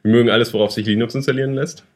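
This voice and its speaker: A man's voice: medium pitch, fairly even intonation, rapid-fire. A young man co-hosting a casual chat, rambling and joking, with frequent fillers and restarts. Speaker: podcast co-host.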